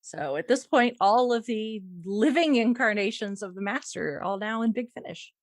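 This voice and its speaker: Animated woman chatting on a podcast. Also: on the high side, normal speed, dry recording, studio quality. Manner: wry, playful, chuckles through talk. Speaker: podcast host reading fandom news. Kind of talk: casual chat.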